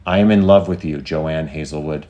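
A man's voice: extremely low pitch, moderate pace, dark tone, muffled recording. Man voicing a solemn, lovestruck AI. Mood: adoring. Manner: declamatory, solemn, formal. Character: devoted, lovestruck artificial intelligence, grandiose and tender.

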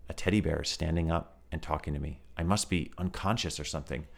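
A man voicing a jumpy, excitable young boy who stammers and rushes. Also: a bass voice, gravelly, heavily acted. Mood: flat.

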